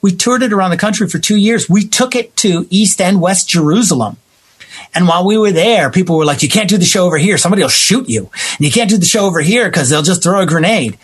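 A man with a husky voice talking conversationally as a theater maker being interviewed, emphatic and given to acting out what people said. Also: high voice, animated, quick pace. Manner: emphatic, acts out quoted reactions. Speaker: theater playwright and director, interview guest. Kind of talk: animated conversational storytelling.

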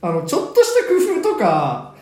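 A man's voice: high-pitched, huge pitch swings, normal speed, darkish tone, roomy sound. A man co-hosting a radio programme, chatting casually as he explains things in a chatty, agreeable way. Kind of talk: casual scripted-intro radio chat, explaining a new segment.

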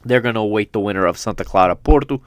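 Guy hosting a football podcast, kinda low smooth voice, dry close mic, rambly and fixes himself a lot. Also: fast, no room sound. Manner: rambling, self-correcting, fan enthusiasm. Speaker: podcast host. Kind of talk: solo football podcast recap. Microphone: studio microphone.

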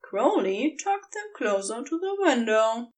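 A woman narrates a story in a fairly high voice. Her reading is unhurried, expressive and dramatic, with a very lively pitch. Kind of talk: scripted fiction narration.

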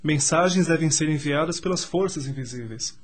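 An actor playing an earnest, bashful young suitor in a radio soap opera, formal and a little hesitant. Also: male voice, fairly high pitch, normal speed, natural voice. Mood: curious.

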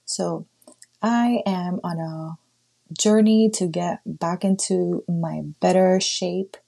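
A woman's voice: fairly low pitch, steady tone, slow pace, creaky, mellow. A woman in her thirties hosting a casual gossip podcast, chatty and loose, with lots of "like" fillers and casual swearing. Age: 30s. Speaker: podcast host.

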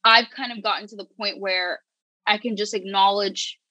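A young woman chatting as a podcast guest, candid and animated, full of "like" and restarts. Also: medium pitch, normal speed, no room sound. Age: young adult. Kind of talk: casual podcast chat.

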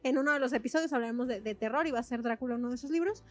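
A woman's voice: on the high side, rapid-fire. A young woman with a Mexican accent, co-hosting a casual book chat, slangy and chatty with laughs between words. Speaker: podcast co-host.

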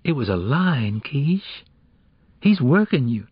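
A man voicing a blunt, street-smart teenage girl in an audiobook, slangy and challenging, quick with questions. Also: mid-range voice, sing-song, breathy edge, dry recording, lo-fi sound, voice pushed up, heavily acted. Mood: firm, protective.

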